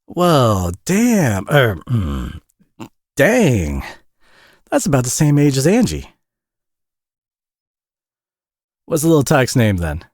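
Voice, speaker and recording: A man's voice: mid-range voice, wildly swooping pitch, takes it slowly, a little mellow, no echo, clean studio sound, exaggerated voice. A man acting a tired, flustered, friendly single dad with a Southern US accent in an intimate audio roleplay, rambling and stammering in a folksy, self-deprecating way. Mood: sheepish, friendly.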